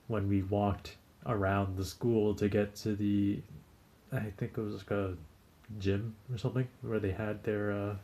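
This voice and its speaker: A man's voice: lowish voice, mellow. A young man in his twenties chatting casually in a vlog about working as an English teaching assistant, rambling with frequent restarts and chuckles. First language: not Japanese; speaks Japanese as second language.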